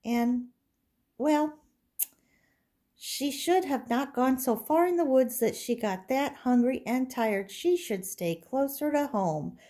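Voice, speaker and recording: A woman's voice: high voice, expressive, slow, mellow, pitched above the performer's own voice. A woman voicing a chatty little daughter giving her thoughts at bedtime.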